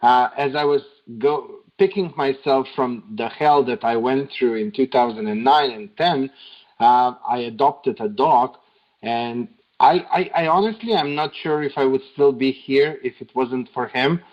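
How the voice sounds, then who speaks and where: A man with a Slovenian accent, a non-native speaker giving casual, somewhat halting answers as an interview guest. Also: mid-range voice. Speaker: podcast guest. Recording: dull lo-fi online call.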